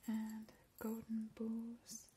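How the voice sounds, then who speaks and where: A woman in a whispered ASMR roleplay, drawing out her words and repeating trigger words softly, recorded indoors at a table on a close mic. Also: on the high side, monotone, drawn-out words, slight background noise. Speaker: ASMR channel host.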